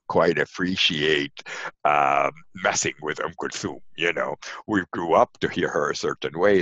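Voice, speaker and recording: A man's voice: sits low, creaky, a bit bright, no echo. An older man from Lebanon being interviewed over a video call, talking reflectively with many fillers and restarts. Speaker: lecturer and radio host being interviewed. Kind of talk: casual conversational interview. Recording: dull lo-fi video call audio.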